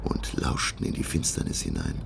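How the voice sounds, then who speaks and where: An actor playing a scholarly professor who narrates his undersea voyage in a gravelly voice, musing in literary language. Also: normal speed, background noise, close to an everyday voice. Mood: hushed suspense. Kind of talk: German radio play narration. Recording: music under the voice. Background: music.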